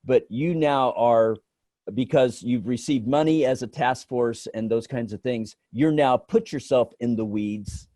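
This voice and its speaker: An American man giving informal advice on a conference call, phrasing his points haltingly. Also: mid-range voice, mellow, no echo. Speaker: featured guest consultant. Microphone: video call microphone.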